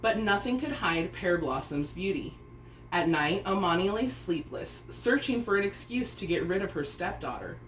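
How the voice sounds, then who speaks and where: A woman reading a children's story aloud as an expressive narrator. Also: on the low side, normal speed. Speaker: storybook reader. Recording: echoey room, faint background noise.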